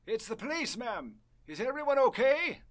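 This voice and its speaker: Actor playing male police officer, very high voice, lively pitch, polite, folksy, reassuring, radio play. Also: moderate pace, full character voice.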